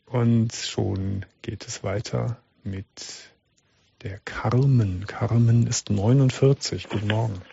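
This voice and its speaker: A man hosting a late-night radio call-in show, giving callers calm, direct advice with frequent restarts. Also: fairly low pitch, slow, gravelly, slightly rough, dull audio. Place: radio studio.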